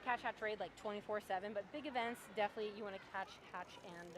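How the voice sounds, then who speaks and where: A woman's voice: medium pitch, quick pace, crowd noise. A woman with Romanian as her first language, chatting and laughing while live-streaming a mobile game outdoors in a town square. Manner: chatty, laughs often, reads chat aloud. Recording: street noise behind.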